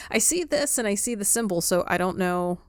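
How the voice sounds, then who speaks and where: A woman's voice: medium pitch, animated, moderate pace, no room sound, studio quality. A woman doing a live let's play, casually reacting and talking back to a game in a wry way. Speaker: game player.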